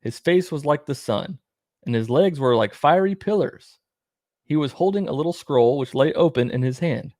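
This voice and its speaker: A man's voice: a little high, expressive, normal speed, a little mellow, dry recording, studio quality. A man reading aloud and commenting in a plain-spoken, irreverent way, as host of his own show. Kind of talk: read-aloud narration with casual irreverent commentary.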